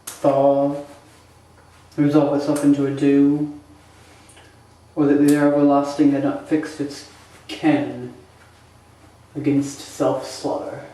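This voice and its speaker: A man plays a bitter, anguished young prince delivering a Shakespearean monologue. The pace is slow, with weighty dramatic pauses and a soft, dark tone. The voice rings through a very echoey room. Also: mid-range voice, monotone, natural voice. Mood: weary anguish. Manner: halting, emphatic, sighing delivery with dramatic pauses. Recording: echoey room, distant microphone.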